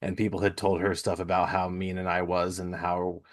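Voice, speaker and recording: A man's voice: deep voice, monotone, moderate pace. A middle-aged man hosting a podcast, talking in a casual, conversational interview style. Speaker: podcast host.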